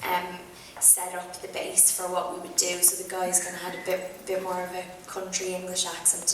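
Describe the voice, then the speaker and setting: A young woman with a strong Irish accent, answering questions at a theatre panel in a chatty, thoughtful way with many ums. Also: on the low side. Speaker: actor on a festival panel. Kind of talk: post-screening Q&A answers. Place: large theatre. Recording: echoey theatre from far off, noisy.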